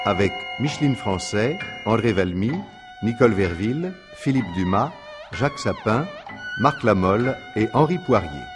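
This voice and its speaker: A man announces radio drama credits in 1950s style. His low voice moves with animated intonation over prominent music.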